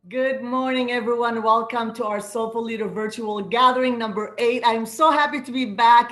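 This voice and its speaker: A woman whose first language is Arabic, hosting an online spiritual gathering as a soul guide and coach, with a gentle, affirming manner and words repeated for emphasis. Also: on the high side, reverberant. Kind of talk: inspirational welcome to an online gathering. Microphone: video call.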